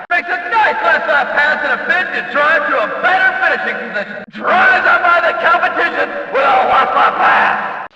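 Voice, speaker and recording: An excitable race announcer for an arcade racing game, with a very high, piercingly forceful voice. He calls everything emphatically and over the top, booming through a heavily echoing space with a crowd behind. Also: exaggerated voice. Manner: hyped, emphatic, drawn-out exclamations. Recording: echoey PA-like hall, distant, dull, crowd.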